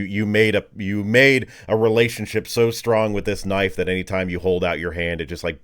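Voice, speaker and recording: A man with a fairly low voice leads a tabletop game as game master. He narrates off the cuff and conversationally, often pausing as he builds the scene. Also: a bit bright. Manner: halting, conversational storytelling. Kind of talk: improvised tabletop game narration and casual chat.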